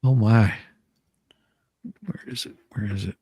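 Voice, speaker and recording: A man's voice: on the low side, fairly even intonation, takes it slowly, mellow, husky, dry recording. A man hosting a livestream with casual chat and commentary, repeating phrases and trailing off mid-sentence as he rambles. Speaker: livestream host.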